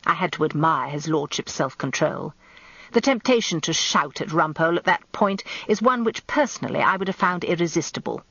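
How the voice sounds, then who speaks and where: Older English wife, wry radio-drama narrator, observant and confiding, close dry sound, slightly muffled. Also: female voice, sits low, lively intonation, brisk, a bit bright, husky, no room sound, heavily acted. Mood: wry, reflective.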